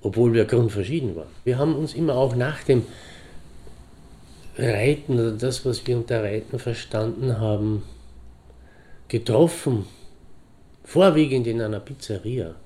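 An actor playing a pensive middle-aged divorced horseman, reminiscing in deliberate, halting phrases. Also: medium pitch, warm tone, natural voice. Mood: reflective. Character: pensive divorced hobby horseman fondly remembering a friend. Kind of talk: reflective anecdotal monologue in an audio drama.

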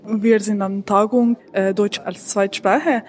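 A woman interviewer speaks at a medium pitch with little melodic movement. She puts her questions in a slightly halting way. Some light noise sits behind her voice. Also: fairly even intonation, a little mellow. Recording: faint background noise.

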